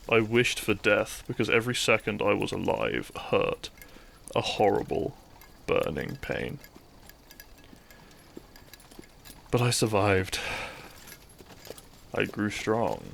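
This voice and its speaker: A man acts out a brooding young thief and kidnapper in an audio drama. His voice is low and gravelly, and he addresses his captive with mocking courtesy and deliberate pauses, bitter underneath. There is a faint noise in the recording. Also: a bit bright, heavily acted. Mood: bitter, wounded. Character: bitter young male thief, kidnapper, once a noble's childhood friend. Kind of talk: scripted audio roleplay, addressing the listener.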